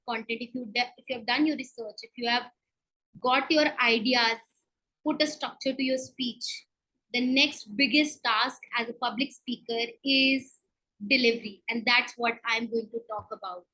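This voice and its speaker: Female coach, high bright voice, emphatic instructional delivery with deliberate pauses. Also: rough recording. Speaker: public speaking coach giving a talk. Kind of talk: instructional presentation to an online group.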